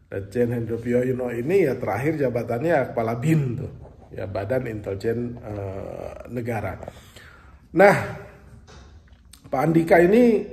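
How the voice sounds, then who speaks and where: A man's voice: medium pitch, darkish tone, echoey room. A man hosting a solo political commentary, talking it through with frequent hesitations and fillers. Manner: thinking aloud with frequent fillers. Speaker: political commentary channel host.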